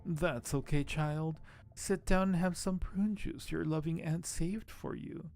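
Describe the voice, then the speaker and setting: A man's voice: fairly high pitch, steady tone, measured pace, creaky, a little mellow, soft background music, voice pushed up. A man voicing a sinister elderly aunt in a game, coaxing and falsely sweet, with a nasty streak.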